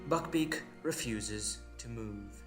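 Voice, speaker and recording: A man's voice: fairly low pitch, slow, a bit bright, faint music behind. A man giving dramatic scripted narration for an audio drama.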